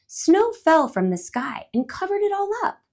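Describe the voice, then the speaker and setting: Picture-book bird, as a woman puts it on: playful, patient, sing-song, high and lively. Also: unhurried, exaggerated voice. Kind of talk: storybook read-aloud voice.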